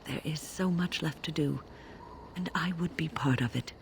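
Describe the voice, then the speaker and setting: Steadfast, dutiful older mage. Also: female voice, low voice, creaky, a little hiss or hum. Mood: composed. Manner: measured, resolute, formal. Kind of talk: video game character acting.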